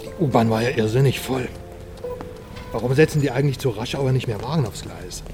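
A man's voice: mid-range voice, a little fast, over music, natural voice. A man playing a detective's chatty, irreverent friend in a crime radio drama, talking in a wry, breezy, colloquial way. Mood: excited, breezy.

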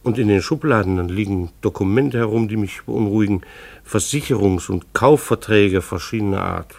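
An actor playing a mildly exasperated middle-aged husband who narrates his household in a radio play, dryly ironic and deliberate. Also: on the low side, creaky.

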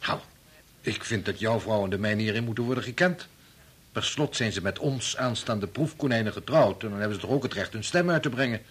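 An actor playing a practical, wry colleague in a Dutch radio play, talking in a persuasive conversational way. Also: male voice, on the low side, a little fast, husky. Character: practical married male colleague, reasonable and wry.

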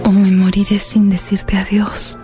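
A woman's voice: medium pitch, completely flat delivery, measured pace, close to an everyday voice. An actress playing a sickly, lovelorn young woman reading her tender, melancholy letters in a radio drama. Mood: anguished, dying. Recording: music behind the voice.

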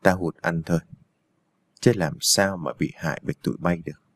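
A man's voice: low voice, moderate pace, pitched below the performer's own voice. A man voicing a lecherous, vicious thug who gloats and gives coarse, menacing orders in an audio story. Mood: gloating, sullen. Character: lecherous, vicious male thug and gang boss.

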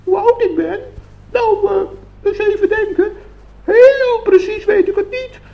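A man's voice: very high-pitched, a little slow, vocal fry, muffled recording, heavily acted. A man playing a very old little forest gnome, naive, earnest and easily indignant, in a radio play. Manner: earnest, hesitant.

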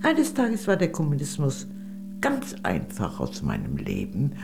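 A woman's voice: a bass voice, wildly swooping pitch, measured pace, creaky, music in the background, exaggerated voice. An actress playing a worldly, gracious older lady, a former communist, in a radio play, speaking in a genteel, arch, confiding way. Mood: wry, confiding.

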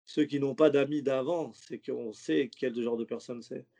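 A man's voice: mid-range voice, fairly even intonation, moderate pace, gravelly, mellow. A man who is a stand-up comedian, talking loosely in a casual interview, slangy and rambling with many restarts. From French suburbs.

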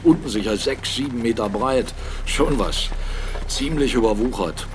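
An actor playing a blunt, argumentative captain and mill owner in a German radio drama, speaking emphatically. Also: male voice, mid-range voice, measured pace, noisy background, lightly acted. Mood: matter-of-fact, grim. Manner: argumentative, emphatic, rhetorical questions. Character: blunt, defiant male mill owner and captain, critical of church.

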